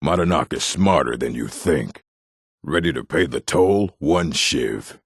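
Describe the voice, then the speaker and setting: Blunt video game orc, dry close sound, rasping, widely swinging pitch, surly, curt. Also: male voice, expressive, measured pace, dry recording, heavily acted. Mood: menacing, grudging. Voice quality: gravelly, raspy. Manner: curt, brusque. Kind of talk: video game character acting.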